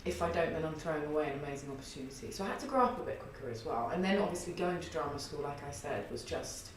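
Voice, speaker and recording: A woman in her early thirties with a husky, low alto voice, telling a long story in a rambling, chatty way with many fillers in a podcast interview. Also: lively intonation, quick pace, far from the mic, a little hiss or hum. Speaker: guest, singer and theatre artist. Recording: echoey room, voice off-mic.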